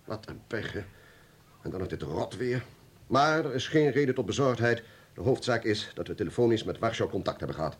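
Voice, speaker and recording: An actor playing a stubborn, agitated communist security official in a Dutch radio play, insistent and defensive, with an authoritative manner. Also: male voice, fairly low pitch, animated, a little fast, breathy edge. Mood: curt.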